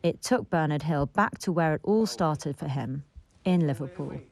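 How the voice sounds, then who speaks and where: A woman's voice: low-pitched, normal speed, creaky, a little mellow. A woman with a British accent reading a scripted news report as a voice-over. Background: faint music.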